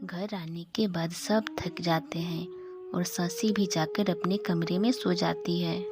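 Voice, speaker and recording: A woman's voice: lowish voice, steady tone, slow. A woman reading scripted narration for a children's story. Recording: music behind the voice.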